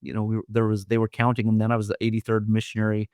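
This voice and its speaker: A man from Idaho, a podcast guest, telling his life story in an earnest, halting way with many fillers and restarts. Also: fairly low pitch, completely flat delivery, a little mellow, clear, resonant tone, no room sound, clean studio sound. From Idaho, USA. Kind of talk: storytelling interview.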